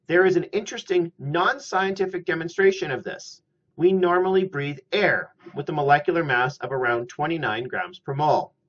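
A middle-aged man giving a scripted chemistry lecture at a steady, methodical pace, recorded on a webcam in a small room. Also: a little high. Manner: measured, methodical explaining. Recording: slightly muffled lo-fi audio.

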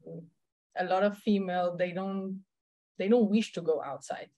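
A woman in her thirties with a Slovenian accent, speaking as a tennis coach in a video-call interview, often pausing and repeating words as she searches for English phrasing. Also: fairly low pitch, steady tone, mellow. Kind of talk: casual interview chat. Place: indoor room at home.

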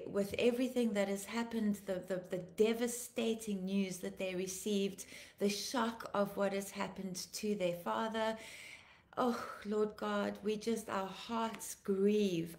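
A woman in her fifties, a pastor, sounding tired and a little croaky, warmly greeting viewers by name and praying fervently on a home live stream. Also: mid-range voice, roomy sound. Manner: fervent praying, repeating declarations. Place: living room at home, indoors. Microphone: laptop webcam, video call framing.

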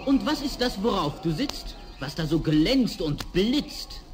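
Crafty, high-voiced little peasant. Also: male voice, sing-song, unhurried, music in the background. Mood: inquisitive. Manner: theatrical, self-satisfied. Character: crafty lazy little male peasant, cheeky. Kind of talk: 1960s German fairy-tale radio play acting.

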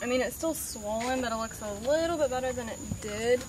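A woman's voice: fairly high pitch, a little fast, background noise. A woman, chatting casually on a handheld camera at an outdoor horse barn, full of "um" and "like". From Southern US. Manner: chatty, filler-heavy, baby talk to her horse. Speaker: vlogger. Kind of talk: casual vlog narration.

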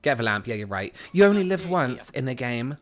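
A man's voice: a little fast, slight background noise. A man in his thirties with a South London accent, chatting casually with his viewers while he plays a game on a headset mic at home. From England. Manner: chatty, animated, jumps into a high squeal when excited. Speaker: streamer. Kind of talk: casual chat while gaming, reading chat aloud. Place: small room at home. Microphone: headset with boom mic.

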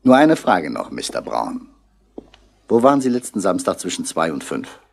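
An unhurried, shrewd detective in a vintage radio crime play. The voice is low and husky, with lively, sardonic turns and few words wasted. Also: male voice, full character voice. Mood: probing, calm. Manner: laconic, sardonic, probing. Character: dry, unhurried, shrewd male police commissioner. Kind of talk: 1960s German radio crime drama acting.

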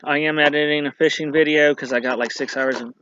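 A man's voice: on the high side, fairly even intonation, fast talker, bright, forceful tone. A man, talking casually and rambling to camera outdoors at dusk as a fishing channel host, with frequent ums and restarts. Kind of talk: casual product review to camera. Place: outdoors in a grassy yard at dusk. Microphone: built-in camera mic at arm's length. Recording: dull lo-fi camera audio with faint noise.

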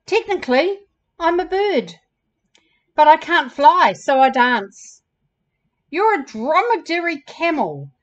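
Woman voicing an upbeat high-pitched dancing ostrich. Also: big pitch swings, takes it slowly, long pauses, muffled recording, full character voice. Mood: encouraging. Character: upbeat, encouraging male ostrich who loves to dance.

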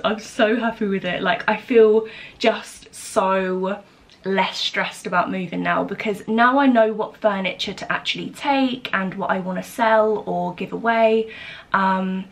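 A woman's voice: mid-range voice, measured pace. A British woman in her twenties chatting casually and informally to camera as a lifestyle vlogger, in a room at home. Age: 20s. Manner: informal, chatty, frequent "like" and "literally". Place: small home office room indoors.

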